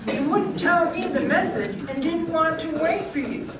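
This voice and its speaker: A woman's voice: extremely high pitch, normal speed. A woman playing a folksy, hearty male ranch hand with a western drawl in an old-time radio show. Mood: hearty, welcoming. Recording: echoey room from far off, faint noise.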